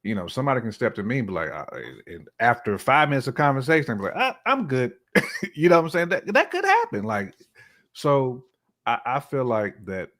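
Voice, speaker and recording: A man's voice: medium pitch, wildly swooping pitch, a little fast, fairly bright tone. A man giving his opinions in casual, colloquial conversation, often acting out imagined dialogue as he goes. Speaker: podcast guest or co-host.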